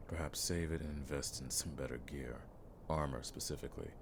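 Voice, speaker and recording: A seasoned monster hunter played by a man speaks in an extremely low, gravelly voice that stays fairly flat. He is measured, wry and world-weary, yet friendly, in the style of a fantasy audio roleplay. Clear background ambience sits behind him. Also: background noise. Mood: friendly, encouraging. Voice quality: very deep, gravelly. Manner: measured, wry, old-fashioned turns of phrase.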